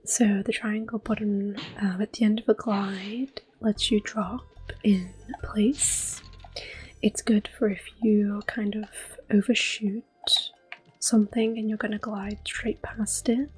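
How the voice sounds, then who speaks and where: A woman giving soft-spoken, often whispered ASMR commentary on a game, gentle and breathy. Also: medium pitch, little pitch movement, rough recording. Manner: gentle, unhurried. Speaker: ASMR gameplay host.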